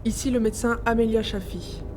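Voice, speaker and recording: Actress as female medical officer, formal and mournful, clear music bed behind, science fiction audio drama. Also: a little high, steady tone, fairly quick, close to an everyday voice. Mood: solemn grief. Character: female ship's doctor, solemn, grieving, formal. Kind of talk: amateur audio drama, recorded log.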